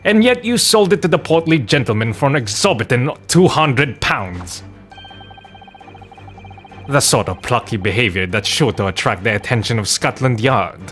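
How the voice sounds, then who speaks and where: A man puts on an eccentric, self-assured detective from a video game. The delivery is theatrical and declamatory, swinging widely in pitch over background music. Every phrase gets a pompous flourish. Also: expressive, normal speed, fairly bright tone, close to an everyday voice. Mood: grandly confident. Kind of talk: theatrical read-aloud of video game dialogue.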